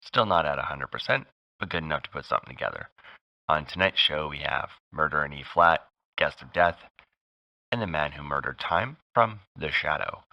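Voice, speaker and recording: A man's voice: extremely low pitch, expressive, normal speed, vocal fry, sharp tone, no room sound. A man hosting a radio show, giving a scripted introduction. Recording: muffled, dry close sound.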